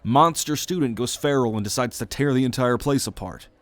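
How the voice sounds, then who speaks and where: A man's voice: medium pitch, fairly quick, no echo, lightly acted. A man voicing a sardonic, foul-mouthed young student in an exasperated drawl for an ASMR roleplay audio drama. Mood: sarcastic. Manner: exasperated, sarcastic, swearing drawl.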